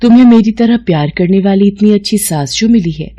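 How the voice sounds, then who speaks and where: A woman's voice: mid-range voice, a little fast, mellow, natural voice. A woman voicing a loving mother who gives her daughter a blessing. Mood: tender.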